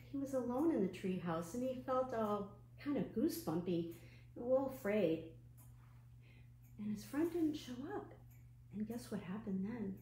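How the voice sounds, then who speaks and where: Female children's storyteller, higher voice, unhurried, gentle and expressive; live online storytime with roomy echo. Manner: gentle, expressive, asks listeners simple questions. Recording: roomy echo, clear background noise and hum.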